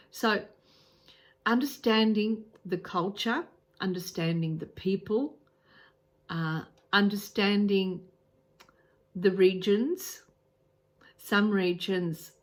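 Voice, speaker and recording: A woman with an Australian accent giving casual travel advice to camera, earnest, with frequent ums and restarts. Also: on the low side. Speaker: travel vlogger.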